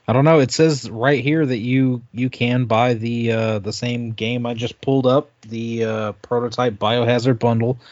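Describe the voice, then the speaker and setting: A man's voice: medium pitch, level tone, moderate pace, few pauses, a little mellow, no echo, dull audio. A man co-hosting a gaming podcast, chatting casually in a somewhat hesitant way. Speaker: podcast co-host.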